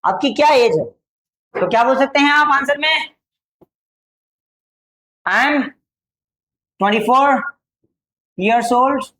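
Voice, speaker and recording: Indian woman, English tutor; drawn-out dictation, very slow, fairly high voice. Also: animated, a bit bright, rough recording. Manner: slow, drawn-out dictation of model answers, repeating each phrase. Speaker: English teacher. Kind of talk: spoken English lesson for Hindi-speaking students.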